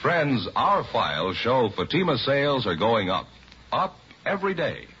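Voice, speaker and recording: A man announcing a 1950s radio advertisement with deliberate, emphatic salesmanship. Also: medium pitch, sing-song, bright, forceful tone. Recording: muffled vintage radio recording, faint noise.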